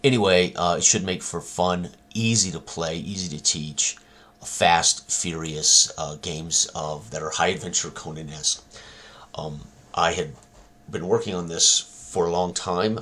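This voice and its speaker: A man with an extremely low, husky voice talks informally as a game designer presenting his work. His delivery is halting and rambling, with long hesitations between phrases. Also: a bit bright. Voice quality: husky, very deep. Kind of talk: informal rambling product talk.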